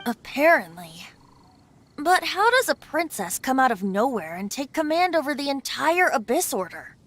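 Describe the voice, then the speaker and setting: A woman's voice: high-pitched, sing-song, moderate pace, fairly bright tone, a little hiss or hum. A woman voicing a youthful boyish male bard in a video game, a playful and philosophical god with a breezy, sometimes wistful manner. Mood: pondering.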